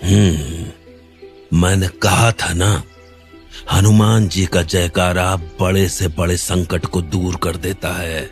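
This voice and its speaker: A man doing a calm, wise old village uncle. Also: deep voice, slow. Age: older. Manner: calm, reassuring, explains patiently. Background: faint background music.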